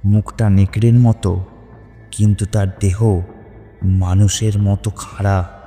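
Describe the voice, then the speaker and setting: A man's voice: on the low side, flat delivery, slow, mellow, long reverb tail, faint music behind. A man narrating a scripted horror story in a dramatic, suspenseful manner.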